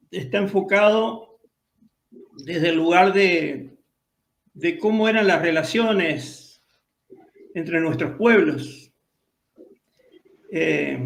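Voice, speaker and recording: A Uruguayan man hosting a radio talk show, speaking haltingly with pauses. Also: high-pitched, creaky, a bit bright. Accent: Uruguayan Spanish. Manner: hesitant, halting with fillers. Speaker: host. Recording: echoey room.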